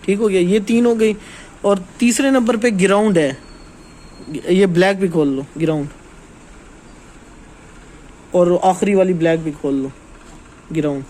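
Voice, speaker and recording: A man with a very high-pitched voice works as a technical trainer, walking through material in an informal, hands-on way. He explains step by step and keeps checking that listeners follow. There is some background noise in the recording. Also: a little slow, long pauses, mellow, low-quality recording.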